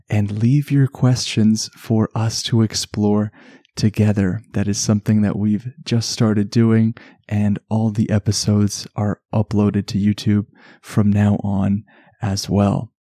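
A man with a Canadian accent hosting a calm relaxation podcast, speaking in a soothing, unhurried way. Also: on the low side, dry recording, clean studio sound. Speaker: podcast host. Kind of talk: slow relaxation podcast narration.

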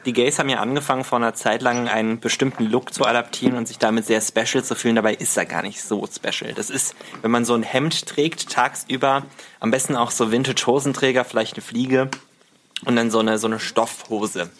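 A man's voice: mid-range voice, fairly even intonation, fairly quick, a bit bright, a little hiss or hum. A man speaking as a podcast guest in a casual, joking conversational style.

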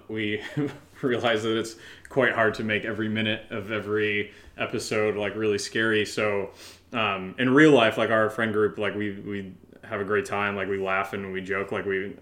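American male co-host, lowish pitch, casual unscripted chat, quick to laugh. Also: fairly bright tone. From Connecticut, United States. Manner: laughs easily, loose and joking. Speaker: podcast co-host and audio drama maker.

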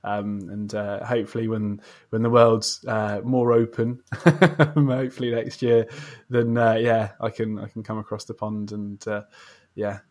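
A man's voice: on the low side, level tone, unhurried, a little mellow. A man hosting a casual interview podcast, speaking in an effusive, readily agreeing way.

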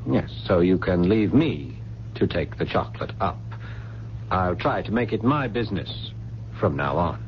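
An actor playing a nervous, resentful rich American son in a 1970s radio drama, edgy, hesitant and petulant. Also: male voice, low voice, animated, unhurried. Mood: curt, irritated. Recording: dull, noisy old radio broadcast with hum.

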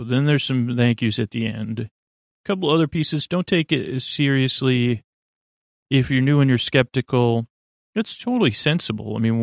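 A man hosting a podcast with a rambling bedtime monologue, halting and meandering, often trailing off mid-word. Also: mid-range voice, gravelly, dry recording, studio quality. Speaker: podcast host.

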